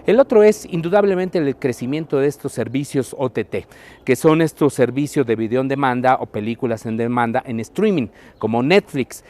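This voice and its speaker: A man with a Mexican Spanish accent giving prepared media commentary in a measured, explanatory way. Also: medium pitch, animated, darkish tone.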